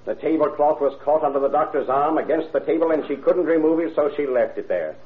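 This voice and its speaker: An actor playing an earnest prosecutor summing up to a jury in methodical, formal oratory, in 1930s radio style. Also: male voice, a little high, fast talker, narrow, tinny audio, close to an everyday voice. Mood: measured, grave. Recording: band-limited old radio broadcast.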